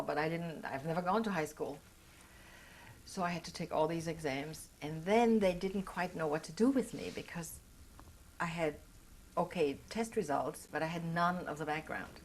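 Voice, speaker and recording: Reflective older woman, slightly low voice. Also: slight background noise, low-quality recording. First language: not English. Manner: reflective, halting, with restarts. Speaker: Holocaust survivor interviewee. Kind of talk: oral history interview.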